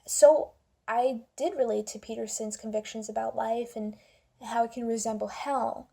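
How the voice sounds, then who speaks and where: A young woman with a fairly high voice that often drops into heavy creak. Her tone is mellow and dark-edged. She talks informally and wryly, as in an opinion vlog. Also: steady tone, normal speed. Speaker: video commentator. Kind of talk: casual opinion vlog to camera.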